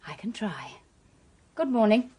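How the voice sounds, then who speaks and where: A woman acting in a radio drama as a pushy, self-assured amateur sleuth with a brisk, forthright manner. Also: medium pitch, very animated, warm tone, slightly rough. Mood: smug, challenging.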